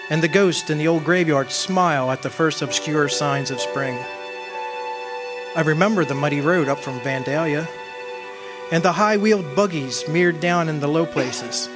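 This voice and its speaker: A man playing a wistful old soul in a radio drama, remembering his lost love in measured, poetic, solemn narration. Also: on the high side, lightly acted. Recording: music behind the voice.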